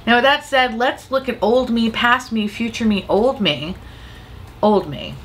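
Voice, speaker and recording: A young woman with a slightly raspy voice from a cold, chatting playfully and profanely while trying on makeup at home. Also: on the high side, unhurried, slight background noise. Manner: playful, profane, self-mocking. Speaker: beauty vlogger. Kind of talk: casual makeup vlog chat.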